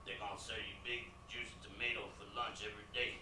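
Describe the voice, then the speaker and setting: An actor playing a streetwise gang inmate who mentors a new prisoner in a stage monologue, with slangy, profane talk. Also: male voice, fairly low pitch, little pitch movement, quick pace, echoey room, recorded from a distance, background noise, close to an everyday voice. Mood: swaggering.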